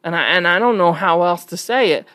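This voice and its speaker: A woman's voice: on the low side, fairly quick, fairly bright tone, no room sound. A woman from New York with an American accent, giving casual opinion commentary in an emphatic, conversational, questioning way. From New York, United States. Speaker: online commentator.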